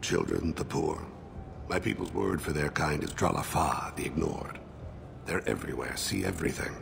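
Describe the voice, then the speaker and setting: An actor playing a calm, grave alien assassin and father in a video game, speaking in solemn, measured, formal phrases. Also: background noise, exaggerated voice. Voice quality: gravelly, very deep.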